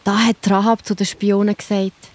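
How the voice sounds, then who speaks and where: Husky, mellow Swiss German woman narrator. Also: mid-range voice, level tone, normal speed. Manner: reassuring, addresses the young listener directly. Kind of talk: scripted children's Bible story narration.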